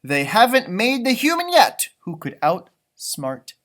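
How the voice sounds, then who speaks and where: A man's voice: high-pitched, huge pitch swings, unhurried, fairly bright tone, full character voice. A man voicing a small, grumpy, boastful old leprechaun telling his own story in a storybook read-aloud. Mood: proud, boastful. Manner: feisty, boastful, theatrical storytelling.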